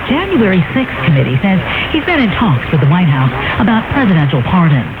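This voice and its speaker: Lively woman newsreader through noisy tinny radio. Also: fairly low pitch, brisk. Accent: American. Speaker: radio news anchor. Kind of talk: scripted news reading. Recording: narrow tinny AM radio, loud static noise.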